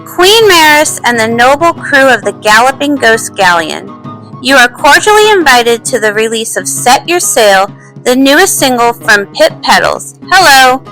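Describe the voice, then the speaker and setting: A woman's voice: high voice, sing-song, moderate pace, sharp tone, heavily acted, low-quality recording. A woman voicing an earnest, bubbly young pony princess and pop star in a cartoon comic dub. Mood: bright, bossy. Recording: faint music behind.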